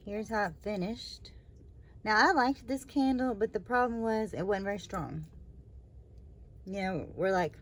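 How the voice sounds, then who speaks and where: A woman hosting her own review channel, slightly hoarse after surgery, talking in a rambling, chatty way with lots of fillers and trailing asides. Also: medium pitch, a little hiss or hum. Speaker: candle review channel host. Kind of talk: casual product review vlog.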